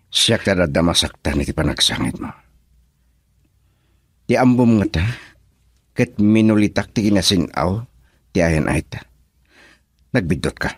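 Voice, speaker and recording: An actor playing an earnest, wronged young lover in an Ilocano radio drama, insistent and dramatic, with an Ilocano accent. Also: male voice, deep voice, expressive, unhurried, long pauses, husky, no echo, studio quality, heavily acted. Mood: pleading.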